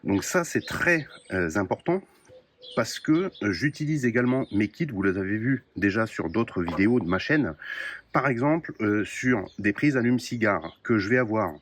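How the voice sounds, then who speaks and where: A man showing his radio kit to the camera in a casual, explanatory way, often pausing with hesitant fillers. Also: on the low side, lively intonation, a little hiss or hum. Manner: explanatory, many "euh" pauses. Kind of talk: casual gear show-and-tell.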